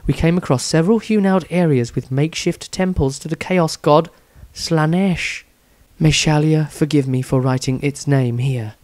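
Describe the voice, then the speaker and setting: A pious, compassionate adventuress reading her fantasy diary, played by a man. The voice is fairly high, close and dry, measured and proper in an earnest way. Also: a little mellow, dry recording, natural voice. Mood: solemn.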